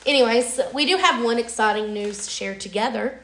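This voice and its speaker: A young woman with a raspy, hoarse voice from a lingering cold chats away on a podcast and steers the talk. Her tone has a gravelly fry in it. The room around her rings with echo. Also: on the high side, fairly quick, a bit bright, a little hiss or hum. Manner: talkative, chatty, leads the conversation. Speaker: podcast co-host. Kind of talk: casual chat. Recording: echoey room.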